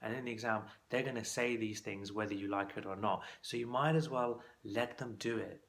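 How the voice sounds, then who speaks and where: A man's voice: on the low side, steady tone, a little fast. A man teaching as a clinical exam course tutor, giving practical coaching tips in a conversational instructional talk to camera.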